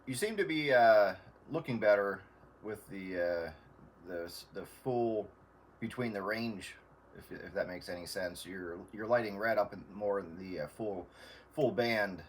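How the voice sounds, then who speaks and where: Lowish guy chatting on the radio, halting and unsure when explaining, bit of background noise. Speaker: amateur radio operator. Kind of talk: casual radio chat.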